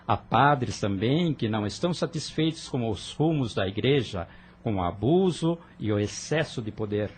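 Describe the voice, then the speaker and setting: An earnest young rebel with a husky, breathy edge, arguing his ideals with fervent conviction, as a man acts him out. He speaks at an ordinary pitch and pleads persuasively in an audio drama. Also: lively intonation, normal speed.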